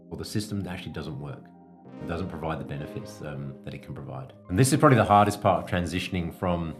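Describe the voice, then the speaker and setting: A man hosting a business coaching podcast, explaining things in a measured, instructive way. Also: sits low.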